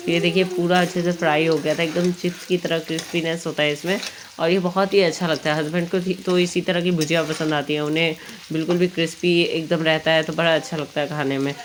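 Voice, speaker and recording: Chatty young woman, husky from a cold. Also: lowish voice. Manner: chatty, homely, meandering. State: hoarse from a cold. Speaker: cooking vlogger. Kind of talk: casual cooking narration.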